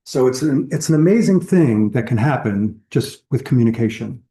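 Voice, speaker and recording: A man's voice: mid-range voice, expressive, moderate pace, dark tone, echoey room. A man giving a public lecture in a conversational, explanatory way, listing examples as he goes. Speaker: lecturer on whale entanglement response. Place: lecture hall.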